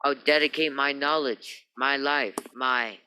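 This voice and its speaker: Man playing a smug, pompous schemer, piercingly bright. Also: medium pitch, steady tone, unhurried, slight background noise. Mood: wounded dignity. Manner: pompous, flowery, mannered delivery. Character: smug, preening male business partner, slick and theatrical. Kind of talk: old-time radio crime drama acting.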